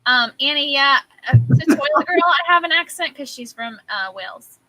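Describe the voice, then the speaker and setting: Brief: woman, lively up-and-down voice, very bright tone, giggly, frank co-host. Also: high-pitched, normal speed, dry recording, rough recording. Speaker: livestream co-host. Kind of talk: casual late-night chat.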